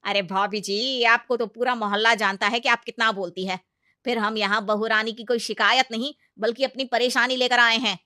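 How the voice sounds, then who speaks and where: A woman voicing a blunt but respectful male village council elder in a comedy story, earnest and gently mocking. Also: fairly high pitch, steady tone, moderate pace, dry recording, studio quality. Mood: chiding.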